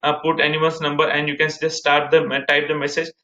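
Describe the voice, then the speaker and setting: A man's voice: fairly high pitch, completely flat delivery, a little fast, bright, forceful tone. A man in his thirties with an Indian accent, presenting a casual step-by-step screen-share tutorial in a repetitive way. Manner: repetitive, step-by-step explaining, addressing viewers as guys. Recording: muffled, echoey room recording.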